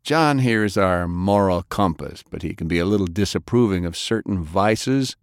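A man's voice: sits low, animated, moderate pace, smooth, dry recording, clean studio sound. A man doing a brash, swaggering adventurer. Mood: breezy. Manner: boisterous, swaggering. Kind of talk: audiobook character voice.

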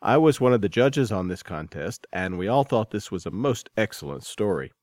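A man with a fairly low voice presents a podcast from a script. The sound is dry, with little room around him. He delivers it as a host introducing segments and news. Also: sing-song, moderate pace, a little mellow, no echo.

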